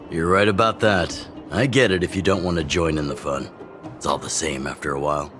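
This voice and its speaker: A low male voice from an actor plays a middle-aged chief in a video game. He is jovial and fatherly, a mentor who likes to tease. Music runs clearly behind him. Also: moderate pace, close to an everyday voice. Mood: genial. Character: older male underground chief, genial, teasing mentor. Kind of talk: video game dialogue acting.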